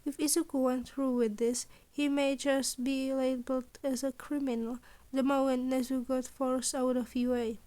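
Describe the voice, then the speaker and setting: A woman narrates a written story for a podfic. Her voice is notably high, and she delivers the prose as scripted storytelling. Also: level tone, moderate pace, mellow.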